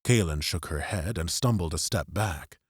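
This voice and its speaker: A man's voice: low-pitched, moderate pace, breathy edge, no echo, studio quality. A man reading dramatic scripted narration as an audiobook narrator. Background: music.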